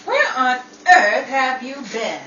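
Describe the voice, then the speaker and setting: A breezy, wry pal from an old-time radio comedy voiced by a woman, bright and lively in delivery. The recording is roomy and slightly dull, with faint noise. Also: sits high, takes it slowly, distant mic, exaggerated voice. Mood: exasperated, good-humored. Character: wry, breezy family friend minding the children.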